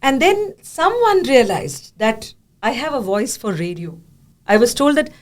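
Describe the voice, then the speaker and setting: Woman podcast host, a bit high voice, lively, laughs a lot while she talks. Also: measured pace. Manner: animated, laughing storyteller. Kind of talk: podcast conversation.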